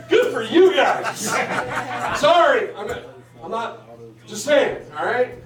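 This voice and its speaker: A man in his forties doing a crude stand-up set, profane and brash, shouting at the crowd. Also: very high-pitched. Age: 40s. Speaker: stand-up comedian. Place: large hall at a live show. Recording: echoey hall recorded from a distance with crowd noise. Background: laughing crowd.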